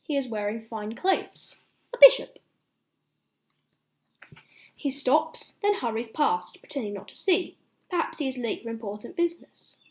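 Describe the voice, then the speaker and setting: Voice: mid-range voice, animated, a little slow, pauses often. A young reader reading a children's bedtime story aloud, expressively, with explanatory asides to little listeners.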